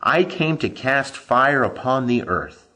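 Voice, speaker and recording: A man reading scripture aloud as solemn scripted narration, in a measured, declamatory way with emphatic phrasing. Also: mid-range voice, expressive, pushed and bright. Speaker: Bible reader.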